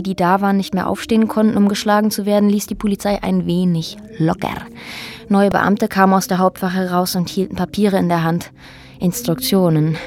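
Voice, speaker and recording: An actress in a German radio drama playing a former activist who soberly recounts a brutal ordeal in measured, thoughtful phrases. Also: female voice, medium pitch, a little mellow, close to an everyday voice.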